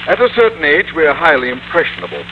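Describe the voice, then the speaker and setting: A man's voice: fairly high pitch, lively intonation, fast talker, natural voice. Man acting a pompous, stern school principal, old radio. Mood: pompous, instructing. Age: middle-aged. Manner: pompous, orotund, wordy. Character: pompous, stern middle-aged school principal and suspicious father. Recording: noisy, muffled vintage radio recording.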